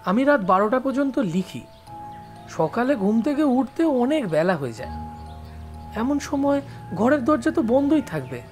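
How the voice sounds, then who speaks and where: A woman's voice: a little high, very animated, measured pace, pauses often, darkish tone, soft background music. A woman voicing a mild, courteous male writer in an audio story drama. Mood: mildly dismissive. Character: mild, genteel male writer, an educated visitor.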